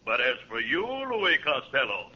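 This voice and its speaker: A man's voice: mid-range voice, very animated, measured pace, husky. A man playing an exasperated old Santa Claus, theatrical and stern, in a 1940s radio comedy. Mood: scolding. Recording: muffled, noisy old 1940s radio broadcast.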